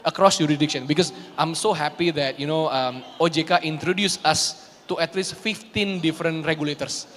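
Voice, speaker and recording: A man's voice: fairly high pitch, a little fast, a bit bright, a little hiss or hum. A man speaking as a panelist at a live panel, thinking aloud and often checking with "right?". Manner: thinks aloud, many fillers and restarts, asks "right?" often. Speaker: co-CEO, panelist. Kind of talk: panel discussion. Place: conference stage at a live event. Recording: reverberant live conference hall.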